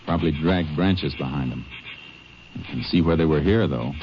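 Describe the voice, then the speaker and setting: A man acting a steady, shrewd Texas Ranger who investigates and narrates in a clipped, businesslike way in a 1950s radio drama. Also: extremely low pitch, normal speed. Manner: clipped, businesslike, matter-of-fact. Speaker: lead character and narrator. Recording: noisy, dull 1950 radio broadcast recording.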